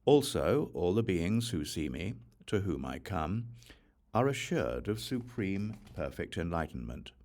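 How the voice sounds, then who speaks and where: A man reads a sacred text aloud as an audiobook, in measured, solemn phrases with deliberate pauses. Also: sits low, big pitch swings, a little mellow. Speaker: audiobook reader of scripture. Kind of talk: scripted reading of a Buddhist sutra.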